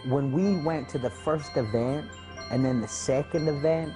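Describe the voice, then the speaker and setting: A man's voice: a little high, unhurried, poor audio. Candid man telling his story. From Scotland. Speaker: testimonial speaker. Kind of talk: personal testimonial. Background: background music.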